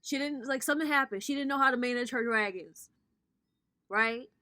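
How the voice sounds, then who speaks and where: A woman's voice: sits high, moderate pace, pushed and bright. A woman in her thirties doing a casual tarot reading vlog as a spiritual coach, with a sassy, playful way of talking that drops swear words. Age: 30s.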